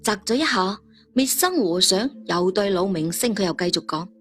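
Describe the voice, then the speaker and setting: Casting: female Cantonese audiobook narrator, mid-range voice, conversational storytelling, soft music bed. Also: mid-range voice, expressive, normal speed. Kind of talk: chapter-by-chapter storytelling.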